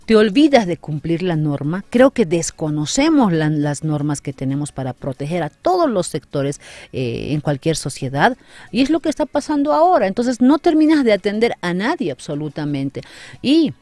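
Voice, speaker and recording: A woman in her forties, a councillor interviewed in a small radio studio, explaining her views earnestly and with emphasis on a boom-arm mic. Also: very animated, normal speed, mellow, dry recording. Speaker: guest, city councillor.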